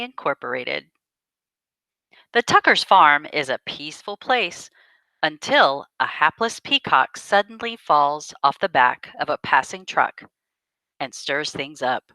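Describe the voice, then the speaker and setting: Animated woman reading a picture book. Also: sits low, no room sound, low-quality recording. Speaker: storyteller reading to children. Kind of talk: expressive picture book read-aloud.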